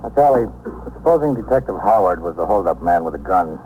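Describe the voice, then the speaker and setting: An American actor playing a hard, skeptical detective lieutenant questioning in a probing, curt way in a 1950s radio drama. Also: male voice, low voice, lively intonation, a little fast, phone-quality sound. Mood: stern, insistent. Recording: noisy old 1950s radio recording.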